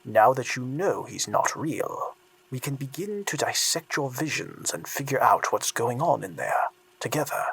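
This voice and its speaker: An actor playing a calm, controlling counselor in an audio drama, with a husky, gravelly voice, measured and insistent. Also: medium pitch, heavily acted, low-quality recording. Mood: reassuring. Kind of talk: scripted audio drama acting.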